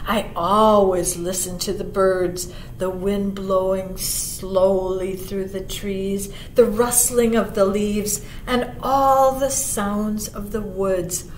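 A woman voicing a gentle young fawn in a children's storybook, speaking softly in a hush. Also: mid-range voice, fairly even intonation, voice pushed down, natural voice. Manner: soft, hushed, slow. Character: gentle, whispering young fawn who listens to birds. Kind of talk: children's storybook read-aloud. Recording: echoey room, faint background noise.

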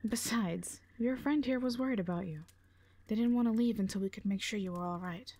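A woman's voice: mid-range voice, a little fast, mellow, natural voice. A woman playing a blunt, protective warrior, sardonic but caring, in an audio roleplay drama. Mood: firm concern. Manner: blunt, dry, foul-mouthed.

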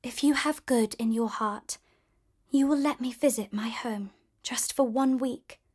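A woman reading as a gentle young storybook heroine with a soft, hushed voice. She speaks slowly and sincerely, a touch higher than usual. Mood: earnest.

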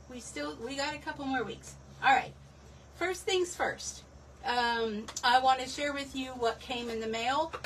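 A woman's voice: on the high side, a bit bright. A middle-aged woman losing her voice, hosting a live craft stream from her home desk in a chatty, rambling, self-deprecating way. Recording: distant from the mic, faint room noise.

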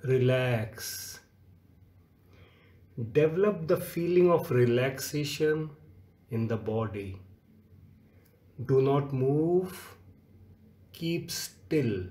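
A man leading a guided relaxation meditation as a yoga nidra instructor, giving measured instructions in short phrases with long pauses. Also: mid-range voice, animated, warm tone. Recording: echoey, roomy sound.

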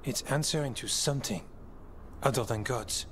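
An actor playing an earnest young male elf alchemist in a video game, speaking in a thoughtful, measured way. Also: mid-range voice, slight background noise, lightly acted. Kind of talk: video game dialogue acting. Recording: music behind the voice.

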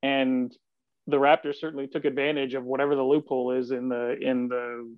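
A man's voice: medium pitch, flat delivery, brisk, clear, resonant tone, dry recording. A man in his forties, a sports columnist chatting casually as a podcast guest over a video call. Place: small home office, video call. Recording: dry, slightly dull video-call audio.

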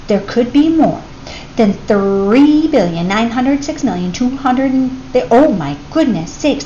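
A woman reading a children's picture book aloud in an expressive teacher's manner, addressing young listeners. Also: high-pitched, highs cut off. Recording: clear background noise.

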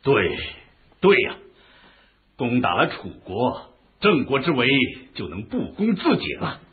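An actor playing an ambitious, impatient ruler of ancient China in a theatrical, emphatic radio drama style. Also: male voice, medium pitch, huge pitch swings, a little slow. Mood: eager. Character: ambitious, impatient ancient Chinese duke, a ruling lord. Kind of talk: historical radio drama acting. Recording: dull, lo-fi radio drama recording.

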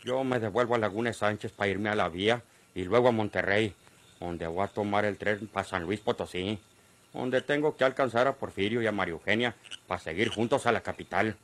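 A man's voice: lowish voice, a little fast, lightly acted. An actor playing a shrewd, cornered ranch man in a Mexican radio drama, middle-aged, persuasive and defiant, with a rural Mexican accent. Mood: earnest, relieved. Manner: earnest, persuasive, rustic speech.

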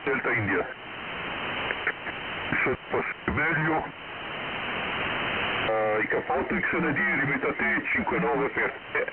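A man's voice: medium pitch, takes it slowly, pauses often. A man working as an amateur radio operator in a terse exchange over a radio transmission. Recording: narrowband satellite radio link, heavy hiss.

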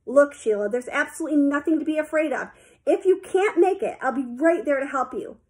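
A woman's voice: high-pitched, brisk, darkish tone, natural voice. A woman voicing an encouraging, insistent male swimming teacher in a children's story, coaxing and emphatic.

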